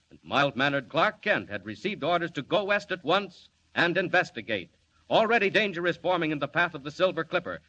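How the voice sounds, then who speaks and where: Male announcer, dramatic and sweeping, bright lively voice, dull hissy old radio recording. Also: fairly high pitch. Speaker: narrator and announcer. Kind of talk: dramatic 1940s radio narration.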